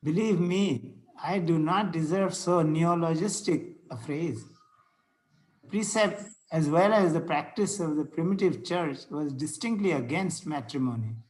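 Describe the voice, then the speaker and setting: A man reading the part of a pious, pedantic, gentle clergyman in an amateur table read, formal and a little halting. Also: fairly high pitch, close to an everyday voice, poor audio. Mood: mildly pedantic.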